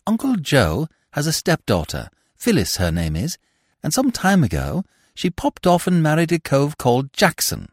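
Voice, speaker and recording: A man acting a young, dim, upper-class English gentleman from a storybook. He is excitable and flustered, pitched high and swooping wildly as he blurts out old-fashioned slang, anxious and eager. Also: normal speed, no echo, clean studio sound. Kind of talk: audiobook character voice.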